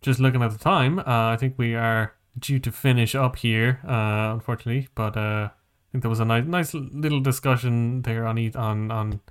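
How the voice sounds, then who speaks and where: A man co-hosting a podcast in casual conversation, talking in a rambling, halting way and often rephrasing mid-sentence. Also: mid-range voice, steady tone, clean tone. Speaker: podcast co-host.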